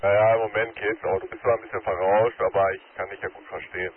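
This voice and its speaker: A man's voice: lowish voice, fairly even intonation, fast. A man chatting casually over amateur radio from his car while driving, friendly and with joking sign-offs. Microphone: mobile radio transceiver. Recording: narrow radio band with static and road noise.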